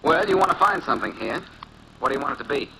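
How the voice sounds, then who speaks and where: An actor playing a breezy, teasing amateur sleuth in a 1940s radio detective drama. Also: male voice, fairly high pitch, sing-song, quick pace, creaky, pushed and bright, exaggerated voice. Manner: teasing, playful, wry. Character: breezy wisecracking male amateur sleuth, skilled lock picker. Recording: noisy 1940s radio broadcast recording.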